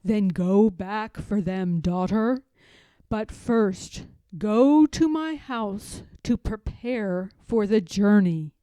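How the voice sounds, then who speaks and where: A woman reading a storybook, voicing God as a calm, tender, commanding fatherly presence who speaks slowly and reassuringly. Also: medium pitch, clean tone, no echo, lightly acted. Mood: gentle, guiding. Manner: slow, solemn, reassuring. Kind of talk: storybook read-aloud.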